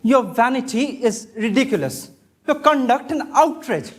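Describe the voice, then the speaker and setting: A man's voice: extremely high pitch, sing-song, moderate pace. Guy acting as fed up stern victorian gent, indignant and formal, stage play. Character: stern, exasperated Victorian gentleman. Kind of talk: amateur stage comedy acting.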